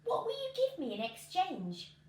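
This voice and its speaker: An eager young storybook boy acted by a woman, very high in pitch. He is adventurous and pleading, with a lively swoop, heard in a roomy space. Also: moderate pace, recorded from a distance, full character voice. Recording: roomy home recording with noise.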